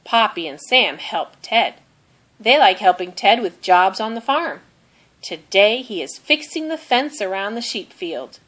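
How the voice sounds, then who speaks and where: Female children's story reader, unhurried and expressive, with lively pitch movement. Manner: expressive, deliberate. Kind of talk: children's read-aloud narration.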